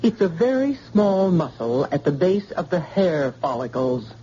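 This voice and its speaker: A woman's voice: low voice, animated, measured pace, mellow. A woman playing a composed, matter-of-fact medical helper in a radio drama, on an old recording. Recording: muffled old radio recording, faint noise.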